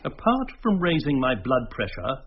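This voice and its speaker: An actor playing a pompous, tetchy, self-important showbiz agent in a radio drama, full of jargon. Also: male voice, medium pitch, moderate pace, highs cut off, slight background noise. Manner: pompous, jargon-laden, animated.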